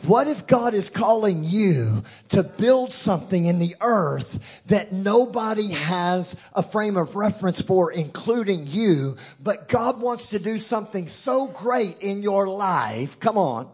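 Texan male preacher, slightly high voice, husky, punchy and playful with the crowd. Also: animated, slow, few pauses, a little mellow. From Texas. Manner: emphatic, joking, call-and-response with the crowd. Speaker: guest preacher. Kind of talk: sermon.

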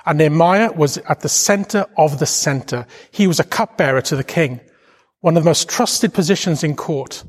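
A man's voice: fairly high pitch, moderate pace. A middle-aged Welsh man giving an earnest, reflective devotional talk, with emphatic stresses as he preaches. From Wales. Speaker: charity leader giving a Bible talk. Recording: long hall reverb.

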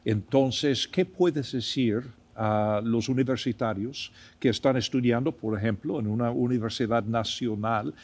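A man hosting a conversational podcast interview, putting long questions in a thoughtful, halting way. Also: mid-range voice, darkish tone, slightly rough.

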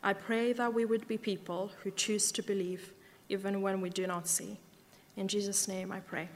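A woman's voice: medium pitch, little pitch movement, normal speed. Woman praying in a reverberant church. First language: Romanian. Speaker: prayer leader. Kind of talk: public prayer. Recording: church hall reverb with faint noise.

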